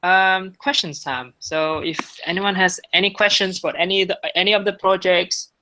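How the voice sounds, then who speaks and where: A man's voice: sits high, fairly even intonation, normal speed, sharp tone, a little hiss or hum, low-quality recording. A young man, a student presenting his project to a class and stopping often to restart his sentences. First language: Vietnamese. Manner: hesitant, many restarts and fillers. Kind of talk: talk to a class with questions after.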